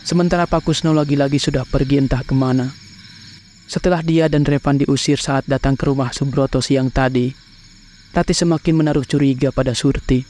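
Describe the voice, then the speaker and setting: A man's voice: mid-range voice, fairly even intonation, a little fast, a little mellow. A man with an Indonesian accent narrating a scripted horror cartoon story. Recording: clear insect and bird noise behind. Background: crickets, insects, birds.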